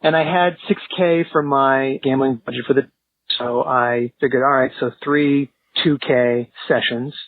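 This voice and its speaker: A man's voice: mid-range voice, steady tone, measured pace, no echo. A man leaving an informal voicemail by phone, explaining things to show hosts. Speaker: voicemail caller. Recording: muffled narrowband phone voicemail.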